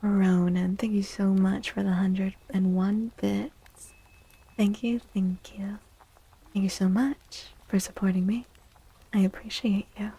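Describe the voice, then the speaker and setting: A woman livestream host speaking in a soft, gentle ASMR style, often half-whispering in a breathy, soothing and affectionate way. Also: mid-range voice, flat delivery, takes it slowly, rough recording. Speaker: livestream host doing tarot readings.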